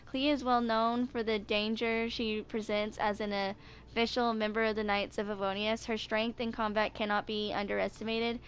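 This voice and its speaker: A woman's voice: on the high side, level tone, normal speed, a little hiss or hum, rough recording. A woman in her twenties chatting casually and reading video game lore aloud as a gaming creator. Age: 20s.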